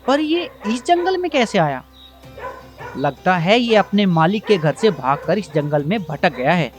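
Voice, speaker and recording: A lazy but clever young village lad acted by a man from a cartoon. He talks breezily and with self-assurance, with music behind him. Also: sits high. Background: background music.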